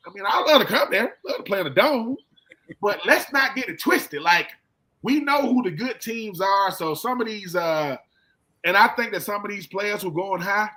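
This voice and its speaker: High-voiced, bright man telling sports stories. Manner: colloquial, repeats himself, acts out quoted exchanges. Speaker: sports show co-host and former football player. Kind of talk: casual, animated sports storytelling.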